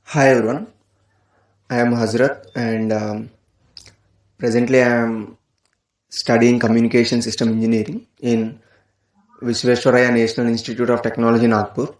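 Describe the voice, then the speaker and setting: A man's voice: medium pitch, flat delivery, normal speed, long pauses, roomy sound. A young man with an Indian accent, a student giving a short self-introduction in a played-back voice sample. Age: young adult.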